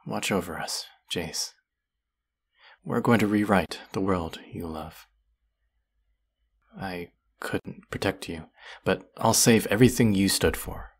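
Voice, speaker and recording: A man acts out a vengeful fantasy villain for an audio roleplay, speaking straight to the listener. The voice is very low, slow and husky, heavy with bitter menace and grief. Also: heavily acted. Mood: grieving, solemn. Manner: menacing, sardonic, slow and deliberate. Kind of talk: dramatic audio roleplay acting addressed to the listener.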